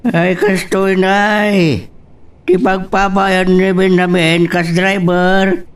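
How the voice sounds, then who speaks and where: Casting: actor as apologetic, dodging old father, Ilocano Filipino accent, raised pitch, dragging hesitant delivery, audio drama. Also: flat delivery, extremely slow, smooth. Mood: evasive, hesitant. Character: evasive, apologetic elderly father. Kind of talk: amateur audio drama acting.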